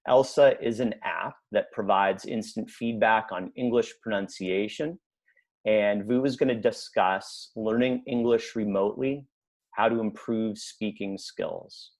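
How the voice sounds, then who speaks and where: A man's voice: lowish voice, unhurried, a little mellow. A man hosting a webinar over a video call, introducing the speakers and giving instructions in a friendly, procedural way with many ums and uhs. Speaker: webinar host.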